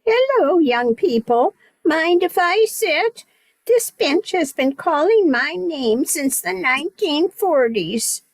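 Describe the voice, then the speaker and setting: A woman's voice: high-pitched, lively intonation, takes it slowly, dry recording, heavily acted, rough recording. An older woman playing a kindly, nostalgic elderly lady who reminisces wistfully in an amateur radio play.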